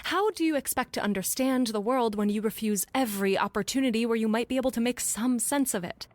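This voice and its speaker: A woman's voice: a little high, fast, no echo, close to an everyday voice. A woman playing a forthright, logical scientist in an audio drama, casual and sardonic as she explains things. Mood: skeptical, sardonic. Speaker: actor.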